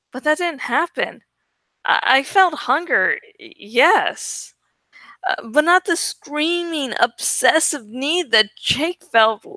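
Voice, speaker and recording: A woman's voice: sits high, bright, forceful tone, dry recording. A woman reading a first-person story aloud expressively, drawing out words for dramatic emphasis. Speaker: amateur audiobook reader.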